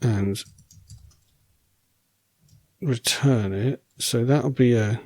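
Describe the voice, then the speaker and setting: A man thinking aloud while writing code as a programming tutor, relaxed and wry, correcting himself as he goes, and losing his voice. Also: mid-range voice, extremely slow, dry recording.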